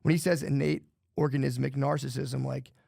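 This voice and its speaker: A man's voice: medium pitch, flat delivery, a little fast, creaky, mellow, no room sound. A man co-hosting a podcast, rambling loosely and swearing, saying "dude" a lot, on a close studio mic. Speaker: podcast co-host. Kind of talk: casual podcast chat.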